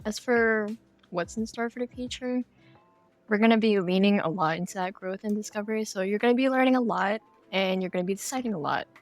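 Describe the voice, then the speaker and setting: A woman's voice: medium pitch, brisk, no echo, faint music behind. A woman speaking as a guest game developer in a casual podcast interview, explaining things conversationally.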